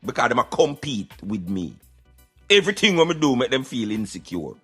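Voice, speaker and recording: A man's voice: medium pitch, big pitch swings, fairly quick, a bit bright, breathy edge, faint music behind. A Jamaican man giving opinionated conversational commentary on a radio show, in patois with emphatic interjections.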